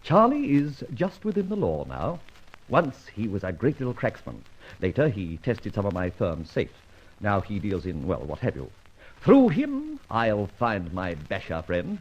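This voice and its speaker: An actor playing a suave, self-assured gentleman detective with a dry, teasing manner in an old radio drama. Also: lowish voice, huge pitch swings, measured pace, breathy edge, exaggerated voice. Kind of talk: 1940s radio acting. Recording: muffled old radio recording.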